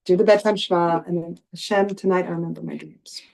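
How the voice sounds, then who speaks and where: A woman's voice: lowish voice, fairly even intonation, fast talker, vocal fry, mellow. Encouraging woman leading a writing circle. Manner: encouraging, loosely phrased with frequent restarts. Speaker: host and writing group leader. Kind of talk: guiding a spiritual writing workshop.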